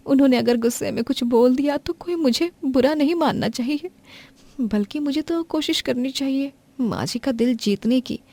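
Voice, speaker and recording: A woman voicing a meek, patient young daughter-in-law who speaks softly and politely. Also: high voice, voice pushed up. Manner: soft, polite, submissive.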